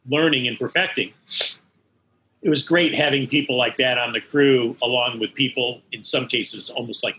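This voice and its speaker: An older American man recalling his work as an interview guest, in a halting way that circles back over words. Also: mid-range voice, pushed and bright, a little hiss or hum, rough recording. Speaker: interview guest, animation studio veteran. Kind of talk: conversational interview recollection.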